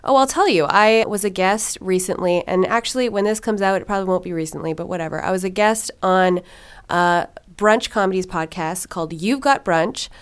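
Young woman podcast host; chatty, filler-heavy, smooth and resonant tone. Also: medium pitch, fairly quick. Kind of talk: casual monologue and interview.

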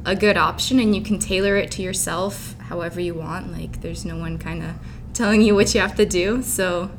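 A woman's voice: steady tone, moderate pace. A young woman who grew up in Canada and Dubai, chatting informally and openly as an interview guest. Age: young adult. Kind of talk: casual chat. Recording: clear background rumbling noise.

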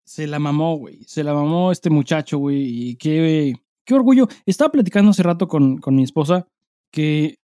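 A man's voice: a little high, normal speed, warm tone, dry recording, studio quality. A man with a Mexican accent co-hosting a casual podcast, talking in loose, sweary slang as he chats and reads listener mail. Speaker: podcast co-host.

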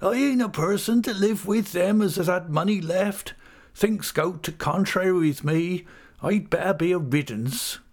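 A man voicing a forlorn, self-pitying old woman in a storybook reading, plaintive and drawn-out, with rural English dialect. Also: high voice, a little mellow, pitched above the performer's own voice.

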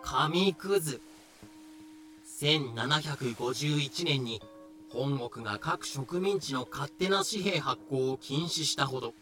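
A man playing a dry, pedantic explainer who delivers clipped, deadpan asides and history notes in a scripted voice drama. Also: mid-range voice, takes it slowly. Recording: music behind the voice.

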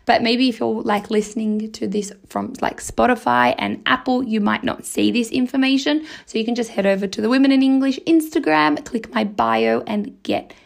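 Female podcast host and teacher: husky, strained, gravelly fry on a fairly high voice; chatty, encouraging delivery. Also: lively intonation, moderate pace, few pauses. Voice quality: husky, slightly raspy. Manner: encouraging, chatty, acts out imagined dialogue. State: voice strained, huskier than usual. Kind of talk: casual motivational podcast chat.

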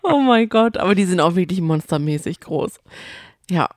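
A woman's voice: fairly low pitch, animated, normal speed, dry recording, studio quality. A woman co-hosting a podcast in casual chat, telling things in a rambling, colloquial way. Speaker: podcast co-host.

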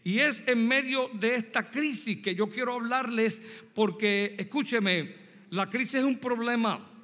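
A man's voice: very high voice, measured pace, sharp tone. Man, Puerto Rican accent, 60s, preacher, long church reverb. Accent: Puerto Rican Spanish. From Puerto Rico. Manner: addresses the congregation directly, with rhetorical questions. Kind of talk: sermon with personal story.

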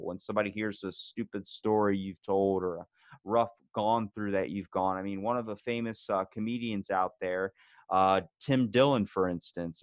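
A man's voice: fairly low pitch, dry recording. A man as a podcast guest in casual conversation, talking bluntly with plenty of swearing and rising energy. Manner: blunt, profane and fired-up.

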